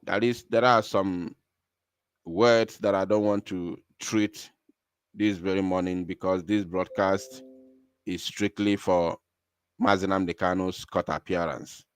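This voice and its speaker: A man's voice: deep voice, steady tone, measured pace, clean tone, no room sound. A man with a Nigerian accent hosting a live political call-in broadcast, speaking emphatically and repeating phrases for stress.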